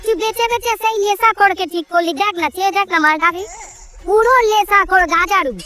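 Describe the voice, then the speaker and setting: Performer as stingy bossy cartoon uncle, extremely high filtered voice, bright and sharp, scolding, music behind. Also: fairly quick. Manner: complaining, scolding, haggling. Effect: pitched-up cartoon voice filter. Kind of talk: comedic cartoon dubbing, exaggerated dialogue.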